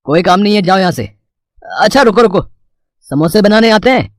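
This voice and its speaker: A man's voice: high-pitched, animated, a little fast, dry recording. Man acting a kindly shop owner, close dry sound. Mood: businesslike. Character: fair, kindly male sweet-shop owner.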